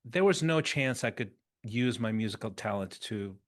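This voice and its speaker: A man in his fifties, a podcast guest, telling his story in an animated, conversational way and restarting phrases as he thinks. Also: lowish voice, moderate pace. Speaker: interview guest.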